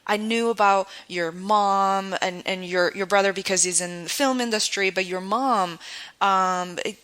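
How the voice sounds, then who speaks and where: Bright woman host, Cantonese accent. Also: medium pitch, steady tone, measured pace, clean tone. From China. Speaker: podcast host. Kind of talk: casual interview chat.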